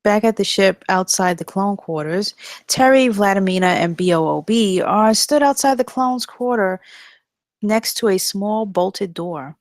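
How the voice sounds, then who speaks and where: A woman's voice: mid-range voice, measured pace, dry recording, studio quality. A woman reading stage directions as narrator in a live scripted table read.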